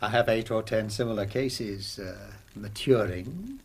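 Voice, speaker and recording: An English actor playing a smug, middle-aged master blackmailer in a radio drama, with pompous, mock-polite regret. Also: male voice, lowish voice, measured pace, gravelly, darkish tone, husky, full character voice. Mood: mock regret, menacing. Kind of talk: British radio drama acting.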